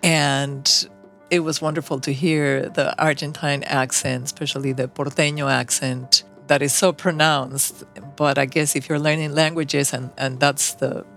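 An interviewer asking warm, appreciative questions in easy conversation. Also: medium pitch, little pitch movement, a little slow, gravelly, soft background music.

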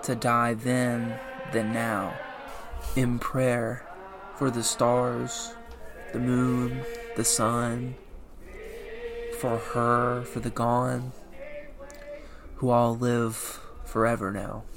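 A man slowly reading a solemn poem aloud as a podcast host, with a measured delivery. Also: mid-range voice, little pitch movement. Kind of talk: slow poetry reading. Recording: clear music under the voice.

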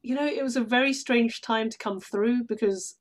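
A woman's voice: fairly high pitch, normal speed. Woman in reflective interview. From London, England. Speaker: guest, stage actress. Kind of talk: casual reflective interview talk.

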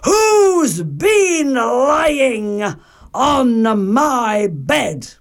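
A woman voicing a big, indignant father bear in a storybook, booming and growly with drawn-out words. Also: big pitch swings, exaggerated voice. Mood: roaring anger.

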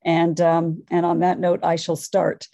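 A woman's voice: on the low side, completely flat delivery, a little slow, dark tone, no echo. A woman scholar giving a conference presentation, earnest and enthusiastic, thinking aloud as she goes. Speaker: academic panelist.

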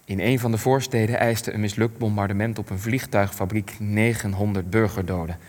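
An actor playing an elderly war survivor in a radio play, grieving and ashamed, speaking in measured, weighty phrases. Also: male voice, fairly low pitch, fairly even intonation, a little mellow, lightly acted. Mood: grave recollection. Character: elderly male war survivor, grief-stricken, ashamed, plain-spoken. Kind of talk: radio play acting, testimony. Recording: long hall-like reverb.

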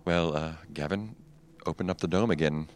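An actor playing a calm, grave man of science in an audio horror drama, soothing and steady. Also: low-pitched, quick pace, heavily acted. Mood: grave, quiet. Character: calm, deep-voiced male scientific colleague, soothing and grave.

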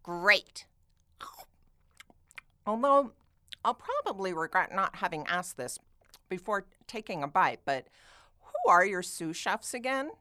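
Actress as bossy schoolgirl, high and sharp, theatrical, sweeping pitch, comic radio play. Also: very animated, slow, long pauses, full character voice. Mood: sarcastic, irritated. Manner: sarcastic, theatrical outbursts. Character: bossy, melodramatic, easily disgusted cartoon schoolgirl.

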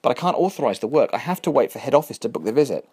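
A man's voice: medium pitch, big pitch swings, racing, a little mellow, husky, voice pushed up. A man voicing a harried, defensive male building manager in a storybook reading. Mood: pleading.

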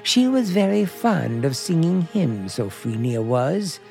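A menacing male captor in a vintage radio thriller. His talk is slow and solicitous with wide swings of pitch, coldly controlling, with music playing behind him. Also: big pitch swings, gravelly, dark tone, full character voice. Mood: falsely friendly. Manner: deliberate, falsely solicitous, menacing. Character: sinister, controlling man calmly holding a woman captive. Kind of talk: old-time radio suspense drama acting.

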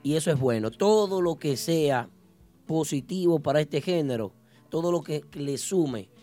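A man hosting a casual radio talk show in a studio, introducing and welcoming a guest with lively interjections. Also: fairly high pitch, normal speed, faint music behind. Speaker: radio host.

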